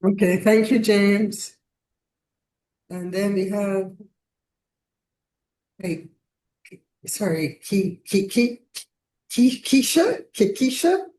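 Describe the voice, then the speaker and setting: A woman's voice: mid-range voice, expressive, drawn-out words, long pauses, a little mellow. A woman hosting an online meeting, calling on each participant in turn and stumbling over a few names. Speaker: host. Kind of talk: online meeting roll call. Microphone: video call.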